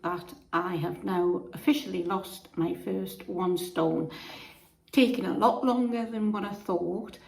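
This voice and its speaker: A woman with a croaky voice from being unwell, chatting to camera in a homely, rambling way with frequent restarts. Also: mid-range voice, darkish tone. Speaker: vlogger. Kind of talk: casual chat to camera. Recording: echoey room.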